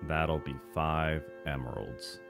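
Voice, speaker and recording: A man voicing a gloomy, weary shopkeeper in an animation, droning with flat, resigned effort at pep. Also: a bass voice, slow, soft background music, full character voice. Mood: depressed, dutiful. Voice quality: droning, Squidward-like tone. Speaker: voice actor recording takes. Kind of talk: animated cartoon voice acting.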